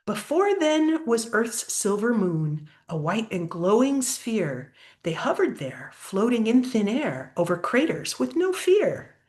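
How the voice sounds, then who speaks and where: A woman's voice: fairly high pitch, sing-song, slow, a little mellow. An older woman reading a children's picture book aloud expressively, like a grandmother pointing out pictures to a child.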